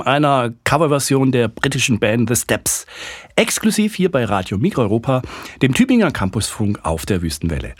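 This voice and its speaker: A man hosts a campus radio show from the studio, with a mid-range voice. He presents in a clear, measured way. The sound is close and dry. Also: medium pitch, dry recording. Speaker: radio host.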